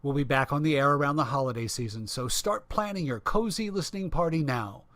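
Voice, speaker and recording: A man's voice: fairly high pitch, a little fast, a bit bright, no echo. Dry-sounding male radio announcer. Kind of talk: scripted radio show sign-off.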